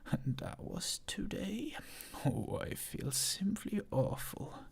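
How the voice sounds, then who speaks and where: Reader whispering a sly sarcastic lion, drawling and scheming, breathy and husky. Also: mid-range voice, wildly swooping pitch, slow pace, a bit bright, pitched below the performer's own voice, heavily acted. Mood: sneering, sarcastic.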